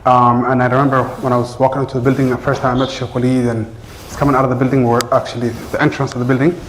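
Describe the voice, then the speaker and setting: A man teaching Arabic addresses his class in a relaxed, unscripted way, his speech full of "um"s and self-corrections. His voice sits in an ordinary middle pitch. The sound carries the roomy echo of a large community-center room. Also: racing, a little hiss or hum. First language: English. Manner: earnest, loose speech with many fillers and restarts.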